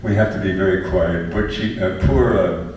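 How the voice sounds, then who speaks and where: An older man, a panelist and former math teacher, telling rambling, chuckling stories to an audience in a loud voice. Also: low voice, normal speed. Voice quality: fairly loud voice. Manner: chuckling, rambling, often restarts his sentences. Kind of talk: relaxed anecdotal storytelling to an audience. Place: large echoey event hall with a live audience. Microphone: distant microphone. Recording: echoey hall, distant mic, faint hiss.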